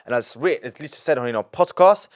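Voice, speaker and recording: A man's voice: medium pitch, lively intonation, rapid-fire. A nineteen-year-old man with a London accent, a podcast guest talking in a rambling, emphatic way, with lots of restarts and repetition. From London, England. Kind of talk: casual podcast interview.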